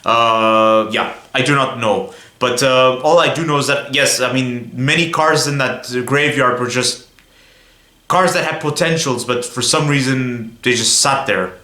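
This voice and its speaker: A man's voice: mid-range voice, measured pace, sharp tone, roomy sound. A young man from Belgium chatting casually to viewers on his car vlog, rambling a little and often saying "guys". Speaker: car vlogger.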